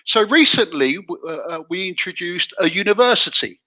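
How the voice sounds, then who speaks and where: A man's voice: high voice, animated, normal speed, pushed and bright. A man with an English accent, co-hosting a casual discussion, emphatic and tagging points with "right?". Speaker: co-host.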